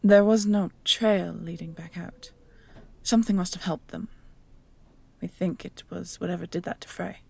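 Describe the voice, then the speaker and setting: A woman's voice: lowish voice, steady tone, normal speed, creaky, mellow, slightly rough. A woman playing a dutiful, nervous leader of huntsmen in a fantasy audio drama, formal, uneasy and deferential. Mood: uneasy, reporting.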